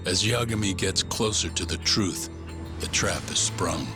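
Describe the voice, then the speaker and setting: An actor narrating a dramatic video game recap as a grave, ominous storyteller with a measured, portentous delivery. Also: male voice, low voice. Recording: music clearly behind narration. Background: background music.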